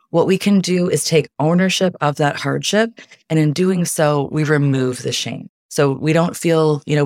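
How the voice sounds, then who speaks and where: A woman's voice: deep voice, creaky, no echo, clean studio sound. A woman, a podcast guest, chatting casually and earnestly about coping, laughing often. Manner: warm, earnest advice-giving with frequent laughs. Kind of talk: casual interview chat.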